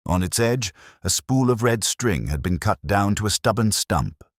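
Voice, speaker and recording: A man narrating a mystery story as a scripted reading, in a measured, dryly literary manner. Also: on the low side, animated, dry recording, studio quality.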